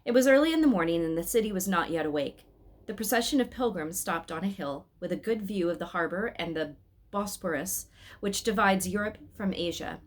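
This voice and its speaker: A woman's voice: on the low side, expressive, fairly quick. A woman reading a story aloud as a scripted narration. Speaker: reader of an Advent story.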